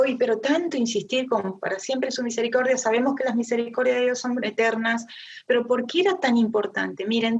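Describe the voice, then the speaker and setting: A dull, lo-fi online call carries the voice of a middle-aged Argentine woman, pitched fairly high. She teaches the Bible conversationally, speaking straight to her listeners and posing questions to them. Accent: Argentine Spanish. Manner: engaged, addresses listeners directly with rhetorical questions. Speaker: Bible teacher leading a women's group. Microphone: online group call. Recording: dull, lo-fi online meeting audio.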